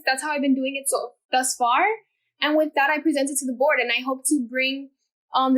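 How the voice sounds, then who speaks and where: A teenage girl from Miami speaks in a bright, high register, earnest and eager as she explains things in long, quick runs of thought. She sounds like a student guest on a casual interview podcast. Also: rough recording. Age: teens.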